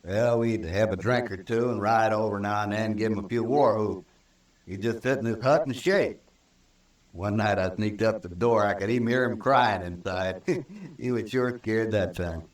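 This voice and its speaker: A man's voice: on the low side, moderate pace, close to an everyday voice. A man playing a spiteful, unrepentant cowboy killer in a radio western, with brazen, folksy insolence. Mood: brazen, sullen. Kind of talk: old-time radio western acting. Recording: distant old radio recording.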